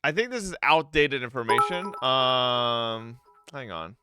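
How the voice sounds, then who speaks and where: A man's voice: medium pitch, lively intonation, a little slow. A man livestreaming casual reaction commentary, joking in profane, slangy language. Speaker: streamer. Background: faint game music.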